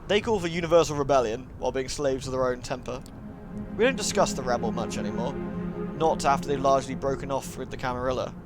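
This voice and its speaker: A man voicing a refined, courteous vampire woman in a visual novel, measured and eloquent. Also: mid-range voice, close to an everyday voice. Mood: lecturing.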